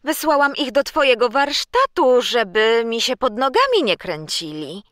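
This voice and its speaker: An actress playing Santa's homely, no-nonsense wife in a children's audio play. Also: female voice, on the high side, big pitch swings, normal speed, fairly bright tone, no room sound. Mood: homely, chatty.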